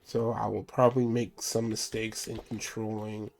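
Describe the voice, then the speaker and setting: A man's voice: fairly low pitch, level tone, moderate pace, creaky, darkish tone. A man streaming a game with casual commentary, stuffy from a cold, apologetic and thinking aloud as he plays.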